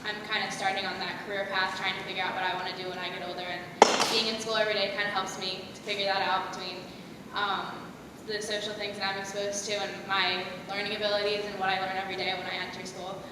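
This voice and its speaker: A woman's voice: level tone, fast talker. Teenage girl speaking evenly in an echoey hall. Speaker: student moderator. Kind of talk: informal panel question. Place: school theater stage. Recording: echoey hall, distant, noisy rumble.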